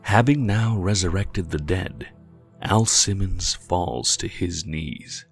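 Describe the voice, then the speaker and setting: A man's voice: low voice, animated, slow, creaky, faint music behind. A man reading scripted dramatic narration for a comic dub.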